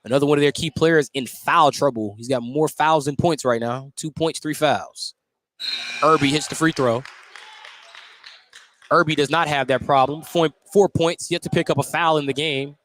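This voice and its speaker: A man with a slightly high voice calls a live basketball game on the radio, with noticeable gym noise around him. He follows the action play by play and slips into chatty, joking asides between calls. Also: normal speed, fairly bright tone. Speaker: play-by-play sports commentator. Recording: clear background noise, live gym broadcast.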